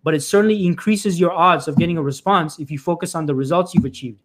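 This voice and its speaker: Fast-talking emphatic career coach man. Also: fairly high pitch. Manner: emphatic, repeats phrases, often says "folks" and "right?"; frequent restarts and filler "uh". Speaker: career coach hosting a live stream. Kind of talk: live-stream advice talk to job seekers.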